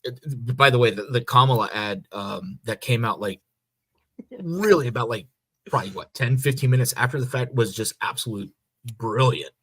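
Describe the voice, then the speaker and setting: An American man co-hosting a podcast, explaining things in a casual, loose and halting way. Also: mid-range voice, fairly bright tone, slightly rough. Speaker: podcast co-host. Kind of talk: casual chat.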